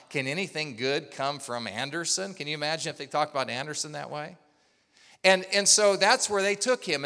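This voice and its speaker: A man's voice: a little high, bright, forceful tone. A man from Oklahoma preaching a sermon as pastor, telling a story and asking the congregation questions. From Oklahoma, USA. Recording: long hall reverb.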